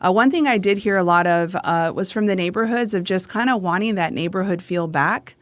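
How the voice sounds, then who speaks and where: A woman's voice: medium pitch, fairly quick, dry recording. A woman from San Antonio, Texas, a city council member, talking through a casual panel conversation and telling stories about the residents she met. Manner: storytelling, acts out voices of residents.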